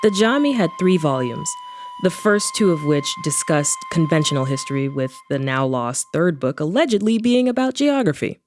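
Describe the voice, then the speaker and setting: A woman's voice: low voice, sing-song, moderate pace, darkish tone. A woman playing a wry, knowing adventurer who narrates her own story in an audio drama with flippant asides. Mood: knowing, storytelling. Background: music.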